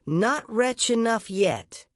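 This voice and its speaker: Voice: mid-range voice, expressive, takes it slowly, no room sound, studio quality, pitched above the performer's own voice. A narrator voicing a vengeful little female sparrow in a storybook, stern and taunting in archaic speech.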